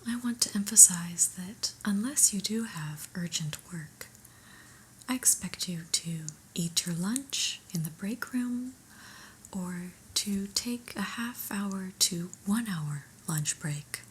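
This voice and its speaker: Woman acting a slow, whispering, stern office boss. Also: medium pitch, a little hiss or hum, close to an everyday voice. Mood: stern, dry. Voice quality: soft breathy whisper. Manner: deliberate, dry, officious, emphatic. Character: stern, unimpressed new female office boss. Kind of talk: ASMR roleplay monologue, whispered.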